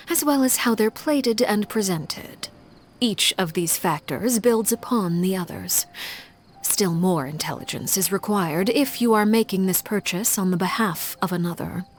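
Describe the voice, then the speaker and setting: A woman's voice: mid-range voice, natural voice. A woman voicing a poised, calculating leader. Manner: formal, measured, precise diction. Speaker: video game character. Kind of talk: scripted game voice lines.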